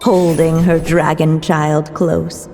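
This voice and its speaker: Motherly storybook voice for a game, poetic n solemn, very slow, mellow, faint music. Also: sits low, little pitch movement, full character voice. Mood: measured, storytelling. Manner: measured, poetic. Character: gentle, solemn storybook narrator woman, motherly. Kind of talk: slow scripted storybook narration in a video game.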